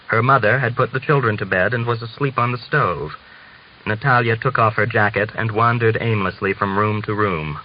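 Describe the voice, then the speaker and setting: A man reading a literary novel aloud as scripted narration, in a measured manner. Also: fairly low pitch. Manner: measured, acts out dialogue. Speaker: audiobook reader. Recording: muffled lo-fi audio with clear hiss.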